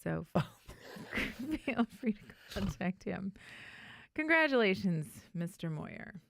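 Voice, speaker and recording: A woman's voice: medium pitch, big pitch swings, takes it slowly, vocal fry, noisy background. An American woman co-hosting a talk show, chatting casually and reading out local news items.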